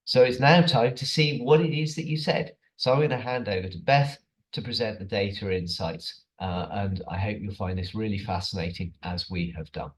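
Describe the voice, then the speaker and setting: A man giving a measured, formal webinar introduction over a video call, with small hesitations, before handing over to a colleague. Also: on the low side, low-quality recording. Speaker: senior staff member opening the webinar.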